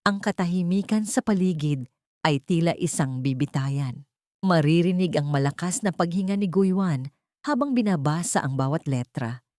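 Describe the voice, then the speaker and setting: A woman storyteller narrates a dramatic audio story in a lower female register. Her intonation rises and falls widely, and the recording is dry and close. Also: darkish tone, dry recording.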